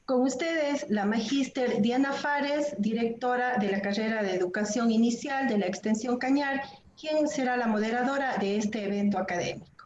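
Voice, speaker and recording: A woman working as event announcer, introducing the moderator with slow, emphatic ceremony. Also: on the high side, reverberant, muffled recording, a little hiss or hum.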